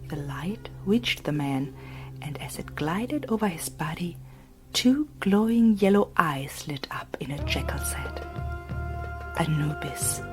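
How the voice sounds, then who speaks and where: A woman reads an audiobook in a soft, breathy near-whisper, taking her time over each phrase. Her voice sits on the lower side, and faint music runs beneath her. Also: sing-song, slow pace. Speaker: audiobook narrator. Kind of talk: scripted narration.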